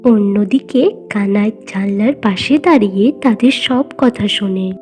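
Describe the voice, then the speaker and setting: A woman's voice: medium pitch, normal speed, a little mellow. A woman giving scripted narration for a cartoon moral tale, as the storyteller.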